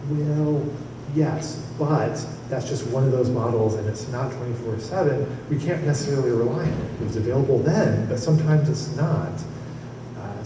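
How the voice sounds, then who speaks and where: A man speaks in a conference panel, his voice distant and caught in a big echoey hall. He rambles through his answer, restarting phrases and chuckling now and then. A steady wash of room noise sits under him. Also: mid-range voice. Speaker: weather service forecaster on a panel. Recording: echoey hall from far off, noisy.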